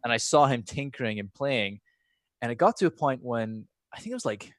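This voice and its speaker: A man's voice: medium pitch, animated, brisk, no echo, studio quality. Scottish-American man in casual conversation. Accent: half Scottish, half American. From Scotland. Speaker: podcast guest, former pro gamer. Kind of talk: casual chat, personal storytelling.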